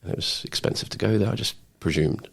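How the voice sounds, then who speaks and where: A man's voice: sits low, fast talker, creaky, husky. A man telling personal stories casually as a podcast guest, in an informal, blokey way. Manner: informal, blokey, says mate, man and bro. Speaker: podcast guest, former Royal Marine. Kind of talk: casual anecdotal storytelling.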